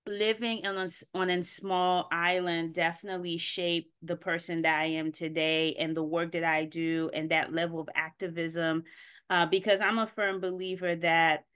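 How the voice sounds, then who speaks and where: Female guest raised in Haiti, smooth lower voice, pauses to think mid-sentence. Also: flat delivery, a bit bright. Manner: thoughtful, searching, many ums. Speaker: podcast guest. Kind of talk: casual interview answers. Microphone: video call.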